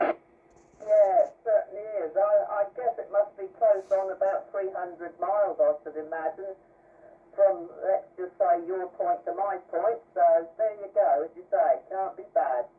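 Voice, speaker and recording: Male radio operator, high voice, casual, thin phone-like signal. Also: steady tone, unhurried, distant mic. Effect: two-way radio transmission.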